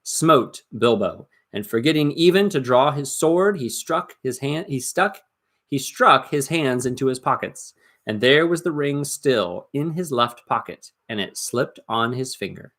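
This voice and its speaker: A man reading a story aloud as dramatic narration, expressive and suspenseful, with deliberate emphasis. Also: medium pitch. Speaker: storybook reader.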